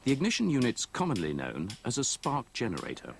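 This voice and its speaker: A man reads scripted instructional narration in a measured, methodical, explanatory way, copied from an old VHS tape. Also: medium pitch, wildly swooping pitch, vocal fry, a little hiss or hum. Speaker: training film narrator.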